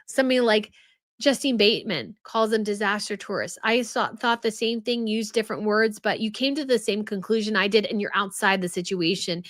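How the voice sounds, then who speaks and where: A woman's voice: mid-range voice, a bit bright, dry recording, clean studio sound. A young woman hosting a casual live stream, chatting and answering viewer comments with many fillers and restarts. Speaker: host of a royal news live stream.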